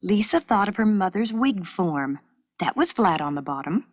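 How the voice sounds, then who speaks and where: A woman reading a scripted children's educational story in an expressive storytelling manner, on a 1970s cassette recording. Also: mid-range voice, moderate pace, dry recording. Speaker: narrator of a children's educational filmstrip.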